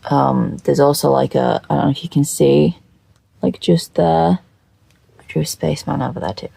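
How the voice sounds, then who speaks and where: A makeup video creator with a croaky, just-woken voice, chatting casually to camera in a scatterbrained, rambling, sweary way and repeating phrases. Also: medium pitch, fairly even intonation, normal speed, warm tone.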